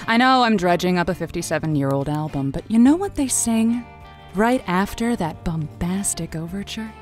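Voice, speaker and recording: A woman's voice: fairly low pitch, lively intonation, normal speed, faint music behind, lightly acted. A woman playing a playful, caring late-night radio advice host in an audio drama, with an intimate, teasing, encouraging manner. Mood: welcoming, playful.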